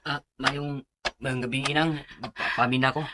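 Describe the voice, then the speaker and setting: A man's voice: mid-range voice, little pitch movement, moderate pace, lightly acted. A man playing a polite, hesitant twenty-year-old boyfriend in a radio drama, with a Cebuano accent. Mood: nervous, respectful. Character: nervous, respectful young man of twenty, teenage girl's boyfriend. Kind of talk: Visayan radio drama acting. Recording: clearly noisy track with clicks.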